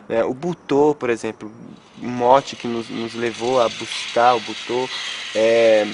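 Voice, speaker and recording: Um homem de Goiânia, artista de teatro, falando de forma pensativa numa entrevista e perguntando "né?" a toda hora. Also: male voice, mid-range voice, slight background noise. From Goiânia, Brazil. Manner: thoughtful, checks in with "né?" often. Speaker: theatre artist. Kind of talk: reflective interview.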